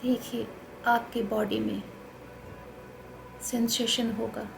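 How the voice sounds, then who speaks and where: An Indian woman guides a meditation in a fairly high voice, soothing and instructional. She speaks in slow, spaced phrases. Clear background noise sits behind her. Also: flat delivery.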